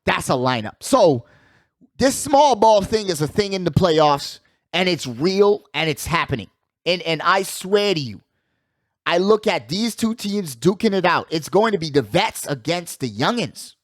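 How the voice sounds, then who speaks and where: A man hosting a casual sports talk show, giving animated, slangy commentary and repeating phrases for emphasis. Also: high-pitched, dry recording, studio quality. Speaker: sports podcast host.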